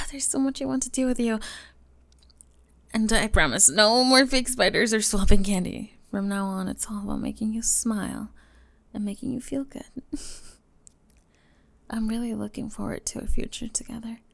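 A woman voicing a teenage goth bully with a secretly tender, flustered side, in an ASMR romance roleplay full of giggles and hushed confessions. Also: fairly high pitch, normal speed, pauses often. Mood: excited, affectionate. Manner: breathy giggles, hushed confessions, playful sarcasm.

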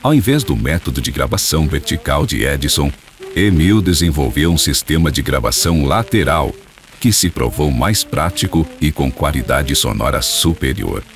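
A man's voice: a bass voice, lively intonation, moderate pace, faint music behind. A man reading scripted radio drama narration in a formal, dramatic storytelling manner.

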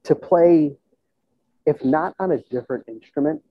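A man in his thirties speaks in a husky, mid-pitched voice, casually reflecting as an interview guest. He thinks aloud and often checks in with the listener. The sound is dry and thin, like a phone-quality video call through an earbud mic. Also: animated, normal speed, dry recording. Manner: conversational, thinking aloud, checks in with "you know" and "right?". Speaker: musician and school band teacher being interviewed. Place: indoors in a plain room on a video call. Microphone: earbud headset. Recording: tinny, band-limited video call audio.

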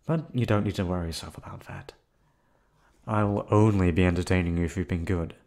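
A man voicing a menacing yandere captor in an ASMR roleplay, with a put-on accent and a calm, coldly threatening delivery. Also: low voice, brisk. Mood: cold menace. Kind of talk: ASMR roleplay audio drama.

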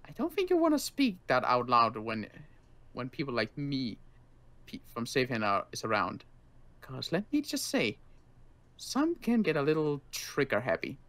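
Casting: man as survivor-camp guard for game roleplay, wary yet kind, casual halting talk, very varied pitch. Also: huge pitch swings, fairly bright tone. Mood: cautioning. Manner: casual, halting, hesitant delivery. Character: wary but kindly male armed guard at survivor camp.

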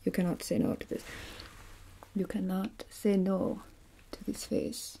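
Bossy vampire ghoul played by a woman, coaxing, drawn-out whisper, dark and creaky, for hypnotic ASMR. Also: mid-range voice, fairly even intonation. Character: wheedling, bossy bald Nosferatu-like vampire ghoul. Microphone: close microphone.

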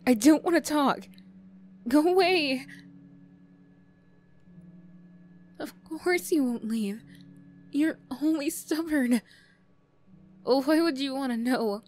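A woman playing a defensive teenage school bully girl who reluctantly opens up, prickly and halting, in an emotional ASMR roleplay. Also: high-pitched, darkish tone, pitched above the performer's own voice. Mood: upset, defensive. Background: music.

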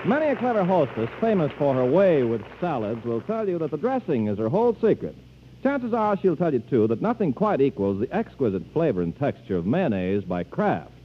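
An American man works as a polished, formal 1940s radio announcer. His pitch swings very widely, and the old broadcast sounds dull, with some faint noise. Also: very animated, fairly quick.

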